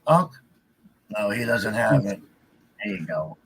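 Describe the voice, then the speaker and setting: A man's voice: fairly low pitch, sing-song, unhurried, a crowd in the background, rough recording. A man co-hosting a livestream in casual chat, often repeating short phrases. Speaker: podcast livestream co-host.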